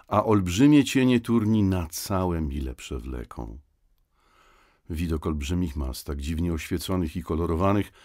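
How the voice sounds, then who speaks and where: Very deep, solemn actor as a poet-geographer. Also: male voice, darkish tone, dry recording, clean studio sound. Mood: awed, contemplative. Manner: solemn, measured, old-fashioned phrasing. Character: Wincenty Pol, reflective 19th-century male poet-geographer guide. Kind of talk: literary recitation for an audio guide.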